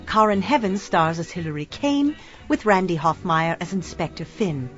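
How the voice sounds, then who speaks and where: Lively female radio credits announcer over music. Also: on the low side, normal speed, lo-fi sound. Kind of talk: scripted radio credits narration.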